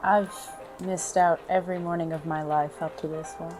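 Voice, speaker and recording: An actor playing a suave, affectionate gentleman criminal in a noir audio drama, speaking in formal, droll, flirtatious phrases. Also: medium pitch, little pitch movement, normal speed, over music, natural voice. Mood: tender, teasing.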